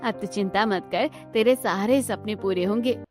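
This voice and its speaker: A woman's voice: mid-range voice, moderate pace, natural voice. A woman voicing a kindly, hardworking middle-aged mother-in-law in a cartoon, reassuring and plain-spoken. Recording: background music under the voice.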